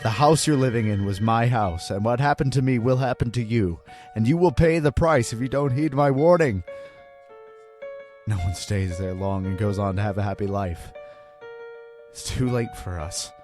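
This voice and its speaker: A man's voice: mid-range voice, close to an everyday voice. A man playing a frightened husband and father, recounting a haunting in grave, dramatic first-person horror narration. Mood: desperate warning. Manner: grave, dramatic, deliberate phrasing. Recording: loud music under voice.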